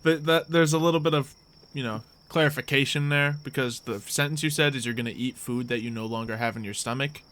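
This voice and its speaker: Bright-voiced young man running a game. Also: mid-range voice, a little fast. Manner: often chuckling, easygoing. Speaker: game master. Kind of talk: narrating a tabletop roleplay game, casual.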